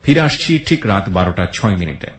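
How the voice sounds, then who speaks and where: Low-voiced Bangladeshi radio host, echoey man. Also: big pitch swings, brisk, faint music behind. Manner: mixes English phrases into his talk. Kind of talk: radio presenting to listeners. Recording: echoey, reverberant voice.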